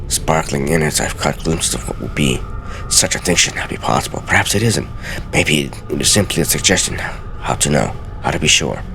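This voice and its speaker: A man with a gravelly voice doing a theatrical, deliberate dramatic reading as a let's play host, sometimes stumbling over words. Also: very deep voice, noisy background. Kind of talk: dramatic reading of video game journal pages. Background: music.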